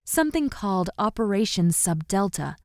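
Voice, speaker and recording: A woman playing a caring, cautious and scholarly young woman in an audio roleplay drama, with a gentle, pensive manner. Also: medium pitch, very animated, moderate pace, no echo, studio quality. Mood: curious.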